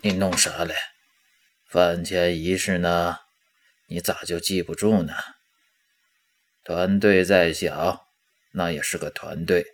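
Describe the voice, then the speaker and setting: Man playing a deep, drawling gruff old master. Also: level tone, takes it slowly, long pauses. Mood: bossy, folksy. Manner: drawling, colloquial, scolding fondly. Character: gruff, folksy, doting older male demon-hunting master. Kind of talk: Chinese audio drama acting. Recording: outdoor birdsong and clicking noises behind.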